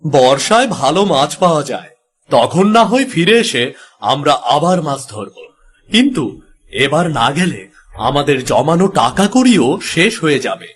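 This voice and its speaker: Resolute cartoon fisherman male voice actor: high for a man, swinging pitch, earnest and persuasive. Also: big pitch swings, moderate pace. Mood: earnest, determined. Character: resolute village fisherman, adult son and husband. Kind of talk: Bengali moral-story cartoon dubbing.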